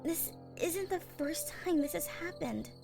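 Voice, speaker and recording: A woman voicing an earnest, guilt-ridden young huntress girl in an audio drama, halting and emotional. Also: very high voice, expressive, creaky, over music, heavily acted. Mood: guilty confession. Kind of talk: dramatic fan-fiction voice acting.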